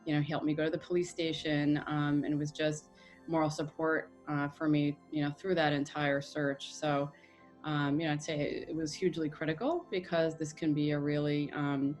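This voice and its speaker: An American woman speaking in a soft, halting way with many fillers, reflecting in an interview. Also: sits low, flat delivery, faint music behind. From United States.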